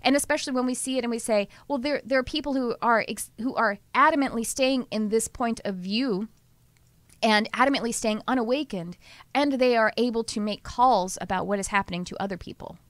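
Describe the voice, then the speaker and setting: A woman with a Texas accent hosting a podcast, talking casually and lively, often laughing and speeding up in bursts. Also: fairly high pitch, a little fast, no room sound. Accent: Texan. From Southern US. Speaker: podcast host and channeler. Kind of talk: casual conversational podcast chat.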